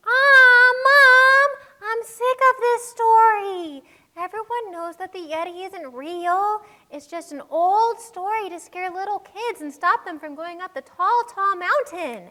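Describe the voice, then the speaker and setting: A woman's voice: very high-pitched, takes it slowly, background noise. A woman playing a bold, curious young village girl in a children's puppet show, cheeky and eager, with a whiny edge. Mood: whiny, defiant.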